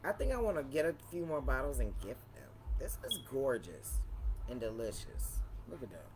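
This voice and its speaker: A woman with a deep voice chatting casually and playfully in a wine tasting vlog, repeating phrases for emphasis. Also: big pitch swings, moderate pace, darkish tone, a little hiss or hum. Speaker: vlogger reviewing wine.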